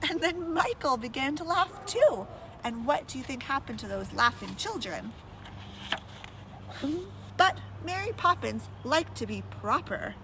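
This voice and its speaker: Female storyteller, high-pitched, very lively intonation, chatty with young listeners; windy outdoor recording with loud noise. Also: measured pace. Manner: animated, addresses young listeners with questions. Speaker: storyteller reading a children's book aloud to listeners. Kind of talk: expressive children's read-aloud with chatty asides. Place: outdoors in blustery wind. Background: wind, urban ambience, vehicles.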